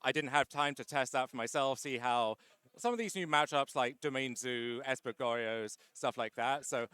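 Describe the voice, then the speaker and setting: A man's voice: medium pitch, moderate pace, pushed and bright, clean tone, no echo. A man being interviewed after winning a card tournament, chatty and wry, with lots of "uh" fillers. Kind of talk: casual post-match interview.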